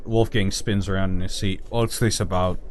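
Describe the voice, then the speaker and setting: A man with a lowish voice roleplays in a tabletop game podcast. He is curt and surly in character, with slight background noise. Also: fairly even intonation, moderate pace, darkish tone.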